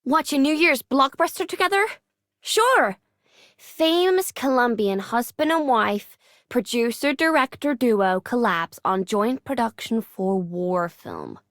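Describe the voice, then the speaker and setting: A woman's voice: a little high, animated, a little slow, fairly bright tone, no room sound. An actress playing an easygoing, slightly dry young woman in a game, speaking casually. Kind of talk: game character voice line.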